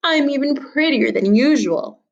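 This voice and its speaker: A woman's voice: high-pitched, animated, measured pace, gravelly, highs cut off, voice pushed up, full character voice. A woman voicing a vain, boastful little girl from a storybook, with a preening, singsong delivery. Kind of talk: children's storybook character reading.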